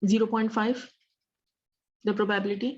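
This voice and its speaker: Even-toned woman teacher, dull lo-fi audio. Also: mid-range voice, extremely slow. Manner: questioning, checks understanding often; mixes English phrases into speech. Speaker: statistics teacher. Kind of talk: interactive online math lesson.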